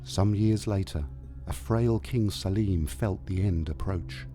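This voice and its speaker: A man narrating a scripted tale as a storyteller, with measured, dramatic delivery. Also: deep voice. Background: music.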